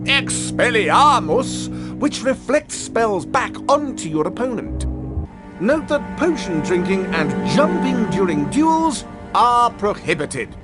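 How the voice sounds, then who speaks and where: A man's voice: high-pitched, big pitch swings, slow, music in the background, heavily acted. An actor playing a vain, theatrical male duelling teacher in a video game, pompous in manner. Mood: showy, pompous. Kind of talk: video game character acting.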